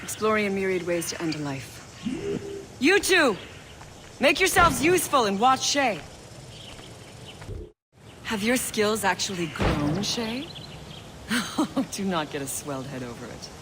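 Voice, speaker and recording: A stern female assassin teacher in a video game. She is sardonic and commanding, with a medium-pitched voice. Noticeable noise sits behind her. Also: big pitch swings, a little slow, pauses often. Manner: scornful, commanding. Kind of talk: video game cutscene acting.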